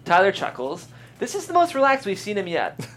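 A man's voice: sits high, huge pitch swings, fairly quick, fairly bright tone. A man reading screenplay stage directions aloud as the narrator of a live table read. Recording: faint background noise.

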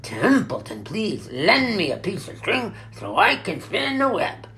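A crabby old rat done by a woman narrator, loud and growling. He complains with heavy sarcasm and self-pity in swinging, mocking phrases, with music in the recording. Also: on the high side, wildly swooping pitch, takes it slowly, voice pushed up, full character voice. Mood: sarcastic. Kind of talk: dramatic storybook character reading.

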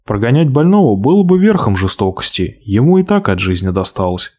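Expressive male storyteller, lowish voice. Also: fairly quick. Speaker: narrator. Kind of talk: storybook reading.

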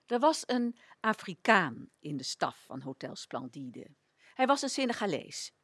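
Woman narrating a radio play, super lively pitch, tells it like a story, dry close sound. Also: a little slow, slightly rough, dry recording.

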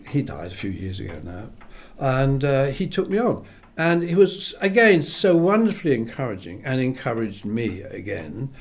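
A man's voice: mid-range voice, a little hiss or hum. Older English-born man reminiscing animatedly. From England. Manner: rambling, animated, acts out quoted voices. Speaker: composer, interview guest. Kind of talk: reminiscing interview.

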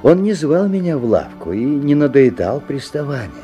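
An actor playing a genial father who narrates a story in a 1970s radio play, theatrical, with deliberate pauses. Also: medium pitch, very animated, dark tone, muffled recording, lightly acted. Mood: storytelling, amused. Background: faint music.

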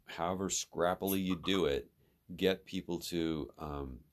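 Man, mentor type, extremely low voice, steady and considered feedback. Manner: measured and advising, with ums and restarts. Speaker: instructor giving feedback. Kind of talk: advisory conversation.

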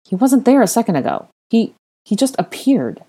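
A woman voicing a blunt, dumbstruck young man in an audiobook story, blurting his words haltingly. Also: low-pitched, big pitch swings, mellow, husky, full character voice. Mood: stunned. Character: blunt, dumbstruck young red-haired man, a sarcastic friend.

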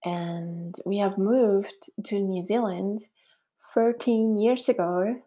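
A woman's voice: mid-range voice, fairly even intonation, takes it slowly, warm tone. A middle-aged woman from Switzerland with a Swiss German accent, hosting a casual solo podcast in a chatty, upbeat way with frequent fillers. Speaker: podcast host.

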